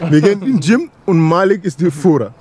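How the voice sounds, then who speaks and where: A man's voice: a little high, expressive, fast talker, a crowd in the background. A Gambian man with a West African accent in non-native German, chatting in a jokey, boastful, rambling way as he hosts a vlog. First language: not German. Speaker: vlog host, bodybuilder. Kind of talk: casual, rambling vlog chat. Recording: noisy car and street ambience.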